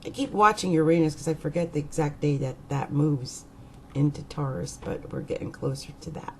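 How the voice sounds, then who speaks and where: A middle-aged woman astrologer with a croaky voice, rambling hesitantly in a casual vlog with many fillers. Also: deep voice, moderate pace, a little mellow, a little hiss or hum.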